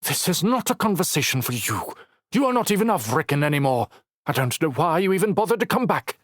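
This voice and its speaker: A man's voice: on the high side, big pitch swings, moderate pace, no room sound, clean studio sound, voice pushed up. A man voicing a haughty, sneering councilwoman who speaks in a clipped, disdainful way.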